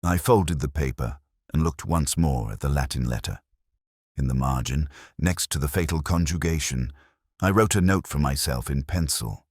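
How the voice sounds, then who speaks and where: A man playing a weary, melancholy detective, narrating a mystery in the first person in a measured, contemplative, literary way. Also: extremely low pitch, darkish tone, no room sound, studio quality. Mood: wistful, solemn.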